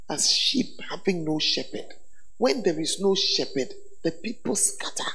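A hoarse bishop preaches with a high, swinging voice, tossing questions at his congregation and teasing them. His words ring out with a long reverb of a hall over a faint background hum. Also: a bit bright. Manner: call-and-response with congregation, teasing. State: hoarse, voice tired. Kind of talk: sermon. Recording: echoey hall with faint ambience.

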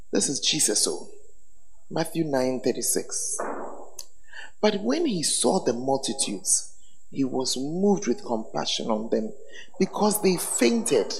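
A hoarse bishop preaches with a high, swinging voice, tossing questions at his congregation and teasing them. His words ring out with a long reverb of a hall over a faint background hum. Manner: call-and-response with congregation, teasing. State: hoarse, voice tired. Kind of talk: sermon. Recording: echoey hall with faint ambience.

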